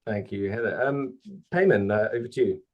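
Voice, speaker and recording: A man's voice: fairly low pitch, normal speed, muffled recording. A man hosting an online panel as a recruiter, chatty with many fillers, on a video call. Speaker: host, recruiter.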